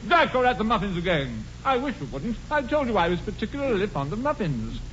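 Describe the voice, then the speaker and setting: Airy young British dandy, high lilting voice, teasing, unbothered, noisy vintage radio comedy. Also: male voice, wildly swooping pitch, brisk, fairly bright tone, exaggerated voice. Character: flippant, languid young dandy, unbothered glutton. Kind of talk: 1940s radio stage comedy acting. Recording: muffled, noisy 1940s radio broadcast.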